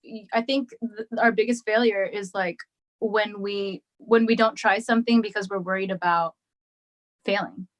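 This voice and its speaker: A woman guest on an interview podcast, talking casually and thoughtfully. She hesitates often, restarting her sentences, at an average pitch for a woman. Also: steady tone, moderate pace. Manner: reflective, hesitant, many ums and restarts. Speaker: guest musician.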